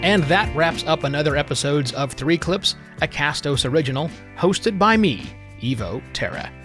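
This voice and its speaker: A male podcast host with a moderately high-pitched voice reads scripted show announcements directly to listeners. Faint music plays behind him. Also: sing-song, normal speed. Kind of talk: scripted podcast outro narration.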